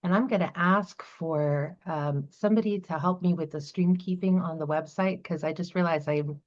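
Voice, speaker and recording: A woman's voice: fairly low pitch, normal speed, darkish tone, dull audio. A woman moderating an online event, coordinating the stream and checking how people should join in. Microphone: video call.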